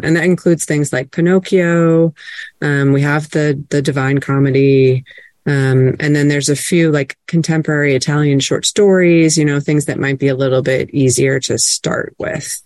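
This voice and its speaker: A woman's voice: deep voice, darkish tone, no echo, clean studio sound. A woman librarian presenting casually on an online call, chatty, with frequent fillers and restarts.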